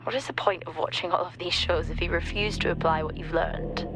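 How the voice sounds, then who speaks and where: A woman's voice: on the low side, fairly even intonation, brisk, close to an everyday voice. A woman playing a wry, weary mining-base colleague in a video game, with dry, sardonic banter in a naturalistic style. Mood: concerned, teasing. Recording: loud music behind the voice.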